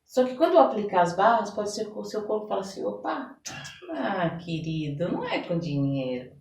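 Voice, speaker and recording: A woman with a Brazilian accent explains her work as an interview guest, conversational and illustrative. Her mid-pitched voice sits away from the microphone in a roomy, echoing space, with some noise behind her. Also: sing-song, moderate pace, darkish tone. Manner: explanatory, illustrates with everyday examples and imagined quotes. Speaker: interview guest, holistic therapist. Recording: roomy echo, distant mic, background noise.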